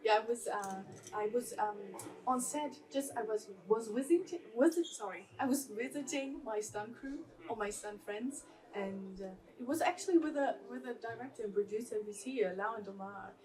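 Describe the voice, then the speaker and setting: A woman from Germany, an actress and former boxer, talking on a festival panel in an upbeat, halting way with restarts. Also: fairly high pitch, a little mellow. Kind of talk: casual panel talk. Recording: distant mic with audience noise.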